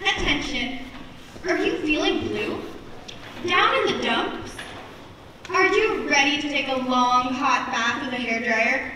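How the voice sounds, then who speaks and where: A woman playing a deadpan supermarket announcer, giving a formal store announcement in an amateur stage comedy. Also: high-pitched, noisy background. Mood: mock-formal. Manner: slow, formal announcement delivery. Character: deadpan female supermarket announcer reading specials. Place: theatre. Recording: very echoey hall, distant, laughing audience.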